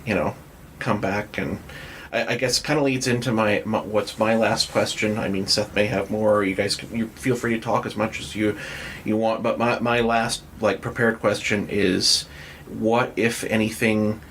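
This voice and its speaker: A man with a moderately low voice hosts a casual podcast interview. He phrases things tentatively and takes a roundabout path to each question. There is light background noise around him. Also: fairly even intonation, moderate pace, fairly bright tone.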